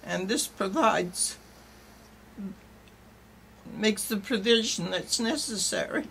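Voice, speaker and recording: An earnest speaker giving measured testimony about care for the elderly. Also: medium pitch, animated, gravelly, sharp tone, slight background noise, low-quality recording. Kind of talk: spoken testimony.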